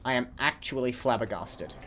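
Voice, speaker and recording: A man's voice: medium pitch, moderate pace, fairly bright tone, a little hiss or hum. A young man giving casual, rambling commentary while playing a video game, often cutting himself off mid-sentence. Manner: rambling, chatty, self-interrupting. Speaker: let's play host.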